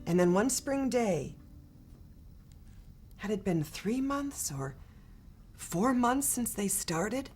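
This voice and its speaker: A woman reading a children's picture book aloud in a gentle, expressive storytelling manner. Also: mid-range voice, slow pace, long pauses. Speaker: storybook reader. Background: soft guitar music.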